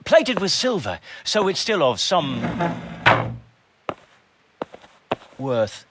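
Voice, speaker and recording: A man's voice: fairly high pitch, wildly swooping pitch, unhurried, background noise. An actor playing a hesitant, deferential and pedantic medieval master craftsman in a game cutscene. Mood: nervous. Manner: hesitant, fussy, apologetic. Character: nervous, deferential, pedantic medieval coin expert. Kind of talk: dramatic video game cutscene acting.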